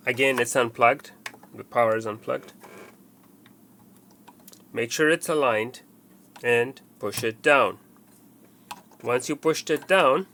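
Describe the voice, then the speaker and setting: A man's voice: medium pitch, gravelly, bright, forceful tone, a little hiss or hum. A man presenting a hands-on installation tutorial, explaining step by step and often repeating himself with fillers.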